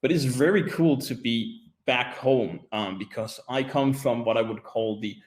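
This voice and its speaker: A man's voice: mid-range voice, measured pace. Friendly man from Denmark, guest speaker. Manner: friendly, with many hesitations. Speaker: guest speaker, social enterprise executive. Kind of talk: webinar presentation.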